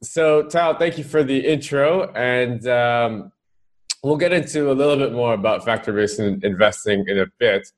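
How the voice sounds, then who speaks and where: A man's voice: medium pitch, moderate pace. A man hosting a podcast interview, asking long rambling questions with fillers. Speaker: podcast host. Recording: faint music behind the voice.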